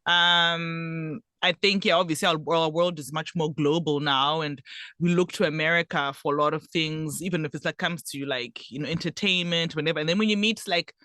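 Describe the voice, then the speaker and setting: A woman's voice: deep voice, fairly even intonation, normal speed, bright, forceful tone, no room sound, clean studio sound. A woman co-hosting a podcast, chatting candidly and reflecting aloud with lots of ums and likes. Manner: reflective, thinking aloud with many fillers. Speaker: podcast co-host. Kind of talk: candid casual chat. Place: Australia.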